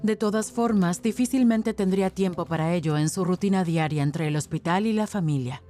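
Mellow female documentary narrator over music. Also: lowish voice, a little fast. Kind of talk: scripted narration.

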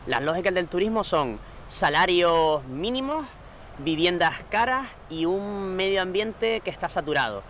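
A housing activist from Gran Canaria speaks in a firm, insistent way. His voice sits fairly high for a man. Faint outdoor noise and passing traffic run underneath. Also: expressive, a little slow, a bit bright. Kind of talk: interview statements.

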